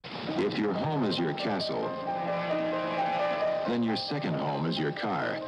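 A man's voice: sits low, sing-song, slow, creaky. A man narrating a scripted car commercial from the 1970s as its announcer. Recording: 1970s off-air TV tape. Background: loud music.

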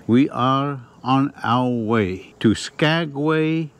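A man in his sixties chatting casually as host of a travel vlog, in a halting way that repeats phrases. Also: medium pitch. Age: 60s. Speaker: vlog host, roofing contractor. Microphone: clip-on lapel mic. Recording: noisy on-location vlog audio.

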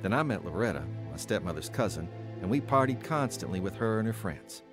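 A man's voice: lowish voice, animated, a little fast, music in the background, close to an everyday voice. An actor playing a remorseful man, earnestly narrating his troubled, drug-addicted youth in a radio drama. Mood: reflective. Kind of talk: scripted first-person radio drama narration.

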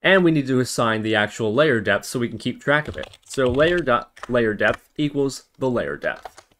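A man's voice: mid-range voice, animated, normal speed, a bit bright. A man narrating a programming tutorial, explaining step by step and thinking aloud as he goes. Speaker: tutorial host.

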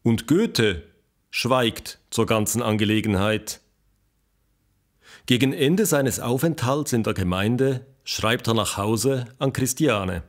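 Animated, curious interviewer. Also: male voice, medium pitch, slow. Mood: intrigued. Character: eager, curious male investigator questioning historical villagers. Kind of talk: scripted audio drama.

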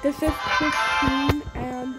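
Slow-talking young girl giving a tour. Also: high-pitched, level tone, poor audio. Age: under 10. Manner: names characters one by one, halting restarts. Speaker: tour guide of her game world. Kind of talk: casual show-and-tell narration. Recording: music playing behind.

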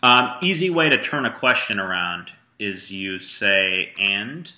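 A man's voice: lowish voice, expressive, a little slow, sharp tone. A man teaching a student Arabic in a one-on-one lesson, patiently prompting him and repeating his praise.

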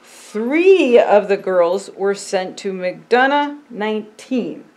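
A woman in her sixties who is a pastor, reading a picture book aloud in simple, deliberate phrases and explaining it as to a child. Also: mid-range voice, expressive, a little mellow. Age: 60s. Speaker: pastor and storytime reader. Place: indoor storytime set by a fireplace.

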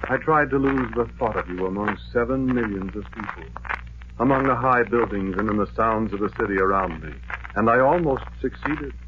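Casting: male actor for weary, lovesick ex-soldier, low voice, poetic brooding monologue, heavily hissy vintage radio drama recording. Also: lightly acted. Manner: brooding, poetic, measured delivery. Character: lovelorn, weary male war veteran narrating his lifelong search. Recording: noisy, dull 1940s radio recording.

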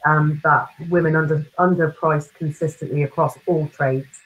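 A woman's voice: low-pitched, steady tone, moderate pace, low-quality recording. A woman hosting an interview over a video call, talking in a hesitant way with likes and ums. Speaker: interviewer, host. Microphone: webcam on video call.

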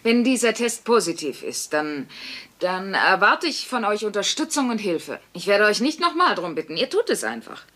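A woman's voice: mid-range voice, big pitch swings, normal speed, sharp tone. A woman playing a sarcastic but caring working-class mother in a dubbed sitcom, speaking dryly. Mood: wry, firm. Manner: dry, sardonic. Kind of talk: German-dubbed sitcom dialogue.

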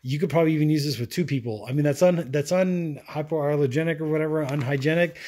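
A man's voice: medium pitch, a little mellow. Chatty rambling man reviewing products. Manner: rambling, chatty, many fillers and restarts. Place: indoors at a wooden table.

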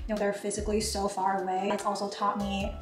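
A woman's voice: medium pitch, little pitch movement, quick pace, distant mic. Twenty-something woman, chatty vlog q&a, echoey kitchen, music under it. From Taiwan. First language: Mandarin. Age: 20s. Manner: chatty, frequent "like" fillers. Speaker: YouTuber. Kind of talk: casual Q&A vlog. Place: home kitchen, indoors. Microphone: camera across the counter.

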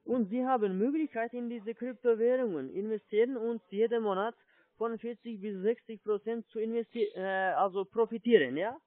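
A man with a foreign accent, not a native German speaker, giving a halting phone sales pitch and often checking he is followed. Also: no room sound. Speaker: telephone sales agent, scammer. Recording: muffled low-fidelity phone line.

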